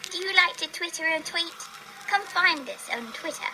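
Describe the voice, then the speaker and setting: A woman's voice: very high voice, lively intonation, moderate pace, very noisy. A woman voicing an eerie, playful little girl in a horror audio drama, with a sing-song childlike delivery.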